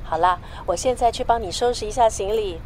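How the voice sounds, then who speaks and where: A woman acting a teasing, brisk older-sister friend in a radio drama. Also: medium pitch, a little mellow, a little hiss or hum, lightly acted, low-quality recording.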